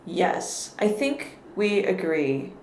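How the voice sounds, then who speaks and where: A woman's voice: fairly low pitch, very animated, takes it slowly, darkish tone. A woman guest in a casual political conversation, thoughtful and careful with her words, often restarting her sentences. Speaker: podcast guest. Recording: echoey room with faint noise.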